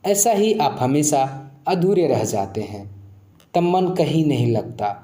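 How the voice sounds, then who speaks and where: A man giving a scripted motivational spiritual narration in a measured, reflective, explanatory way. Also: a little high, very animated, mellow, roomy sound. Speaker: narrator of moral story channel.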